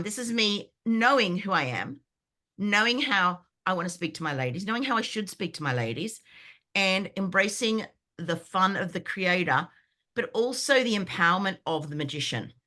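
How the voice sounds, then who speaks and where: A woman in her fifties, croaky from a sinus infection, chatting enthusiastically as a guest on a video call, with lots of fillers and restarts. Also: mid-range voice, sharp tone. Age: 50s. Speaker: brand foundations coach. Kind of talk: guest on an online group call.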